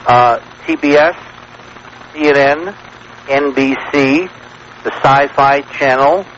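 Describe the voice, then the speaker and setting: Male talk-radio guest, middle pitch, emphatic and wordy storyteller, dull vintage broadcast with light noise. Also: takes it slowly, fairly bright tone.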